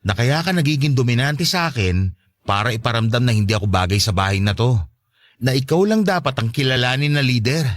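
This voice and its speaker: Quick, swinging gentle effeminate man , acted out. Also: medium pitch, expressive, natural voice. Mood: confrontational. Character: gentle effeminate stepfather, meek but quietly defiant. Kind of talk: Tagalog audio drama dialogue.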